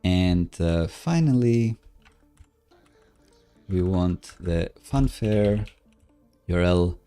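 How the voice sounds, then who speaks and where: A man live-coding on a stream, casually thinking aloud in a hesitant, halting, self-musing way. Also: deep voice, expressive, clear, resonant tone. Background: soft background music, computer keyboard typing.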